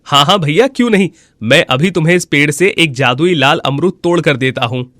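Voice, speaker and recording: A man playing a simple, kind-hearted villager in a cartoon moral story, with an earnest, expressive delivery. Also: fairly high pitch, brisk, no room sound, studio quality, lightly acted. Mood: earnest, friendly. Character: honest, simple, kind-hearted village husband and his neighbours.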